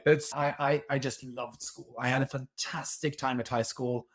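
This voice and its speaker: A man's voice: medium pitch, flat delivery, normal speed, husky. A young man with a Norwegian accent, chatting as an interview guest, telling stories in an upbeat way with frequent restarts. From Norway. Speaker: guest, co-founder. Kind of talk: casual interview talk.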